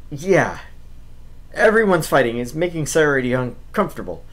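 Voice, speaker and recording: A man's voice: a little high, big pitch swings, normal speed, a bit bright. A man reading a visual novel as its awkward, reluctant schoolboy narrator, telling the story and speaking his hesitant lines. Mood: awkward, pleading.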